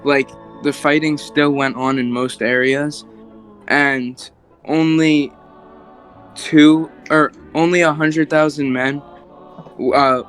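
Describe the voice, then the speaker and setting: A young man presents facts informally at a slow, halting pace. His voice sits a little higher than average for a man, with music playing softly behind him. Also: flat delivery.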